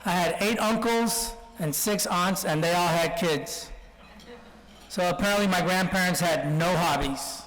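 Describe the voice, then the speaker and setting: High-voiced man speaking in a reverberant hall. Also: a little slow, a bit bright. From Colombia, then Texas from childhood. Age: 40s. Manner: joking, anecdotal storytelling. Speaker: guest speaker. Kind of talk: informal speech with self-deprecating humour. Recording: reverberant hall, speech over PA.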